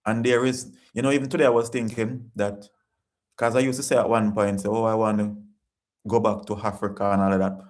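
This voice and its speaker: A man's voice: fairly low pitch, flat delivery, a little fast. Earnest Jamaican man hosting a live chat. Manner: earnest, repetitive, preachy. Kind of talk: casual live-stream talk reading viewer comments.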